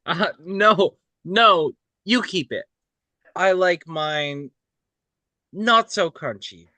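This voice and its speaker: A woman plays an anxious kid in an adventure table read. The voice is bright and close-dry, with halting, pleading delivery at a very unhurried pace. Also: fairly low pitch, dry recording, full character voice. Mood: nervous. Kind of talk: table read of a film script.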